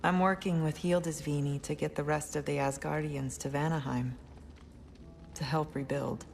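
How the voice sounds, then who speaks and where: A woman playing a proud, stern middle-aged noblewoman in a fantasy video game, speaking in a formal, firm, measured manner. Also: low-pitched, steady tone, gravelly, darkish tone, soft background music. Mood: businesslike.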